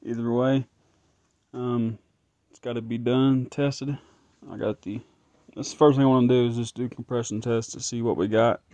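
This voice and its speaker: A man's voice: mid-range voice, level tone, moderate pace, warm tone, no echo. A man thinking aloud as he tests an engine at his garage workbench, casual and a bit frustrated. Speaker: home mechanic vlogger. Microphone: phone camera held close.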